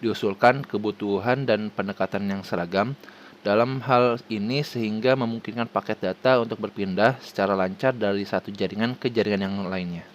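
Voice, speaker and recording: A man with an Indonesian accent reading a formal scripted presentation haltingly, stumbling over words and restarting them. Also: on the low side, steady tone, a little hiss or hum. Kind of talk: scripted presentation read aloud.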